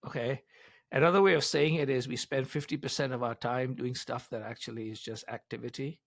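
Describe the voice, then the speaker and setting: A man's voice: medium pitch, fairly even intonation, normal speed, vocal fry, a bit bright, dry recording. Older man explaining with emphasis, dry sound. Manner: emphatic, explains in numbered points with acted-out quotes. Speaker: business author and advisor being interviewed. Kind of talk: conversational explanatory interview answers.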